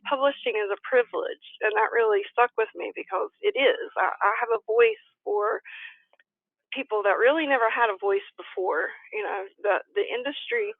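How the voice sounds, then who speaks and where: A woman's voice: mid-range voice, no echo. A woman guest talking earnestly and casually in an interview, laughing often and restarting her sentences. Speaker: website founder, guest. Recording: tinny dry phone line.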